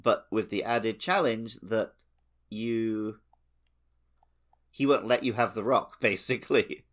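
A man's voice: fairly low pitch, slow, a bit bright. A man co-hosting a video game podcast in a casual, wry and joking manner. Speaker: podcast co-host.

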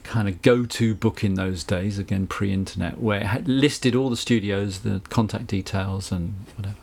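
A middle-aged man with a Southern English accent, a recording engineer telling anecdotes in a relaxed interview, chatty with frequent asides. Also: on the low side, normal speed, creaky, husky. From England. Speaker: guest, recording engineer and producer.

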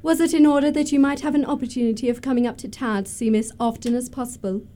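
Haughty young society lady , played by an actress, high voice, imperious and precise, period radio comedy. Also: fairly even intonation, fast, warm tone, lightly acted. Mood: stately, probing. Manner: precise, declamatory, pompous. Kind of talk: staged radio comedy of manners.

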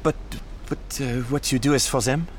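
An actor playing a troubled, tender father in an audio drama, speaking in a hesitant, halting way. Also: mid-range voice, fairly even intonation, a little hiss or hum, lightly acted. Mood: anguished. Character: troubled, tender father emigrating with his young children.

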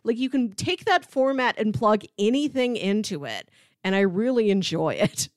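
A woman with a very animated, mid-pitched voice chats as a podcast co-host. She is talkative and playful, in a casual style. The sound is close and dry. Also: normal speed, dry recording. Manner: chatty, playful. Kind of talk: casual podcast chat about media.

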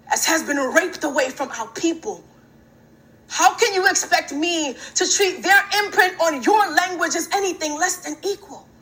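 A young woman performs spoken word poetry with a rhythmic, emphatic, rhyming drive. Her voice sits very high and rings brightly. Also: rough recording.

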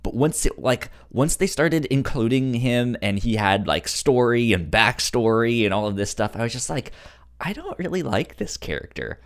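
A man podcast co-host chatting casually. His delivery is halting, often repeating a word or syllable before moving on, and his pitch is middling but swings around in a lively way. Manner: halting, stammering with repeated words. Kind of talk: casual chat.

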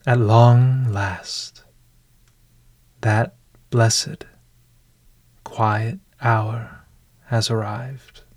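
Male meditation guide, extremely slow pace, hushed deliberate delivery, long pauses, dry close sound. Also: fairly low pitch, flat delivery, dry recording. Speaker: podcast host guiding meditation. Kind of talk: guided bedtime meditation, scripted narration.

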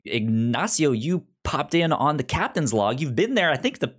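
A man's voice: fairly high pitch, moderate pace. A man hosting a casual podcast, talking loosely with many fillers and frequent stuttered repeats of opening sounds. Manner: filler-heavy, relaxed. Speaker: podcast host.

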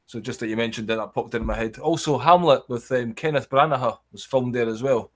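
A man with a Scottish accent hosting a casual interview over a video call, excitable and quick-talking. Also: mid-range voice. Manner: excitable, talks fast, says wee. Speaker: podcast host.

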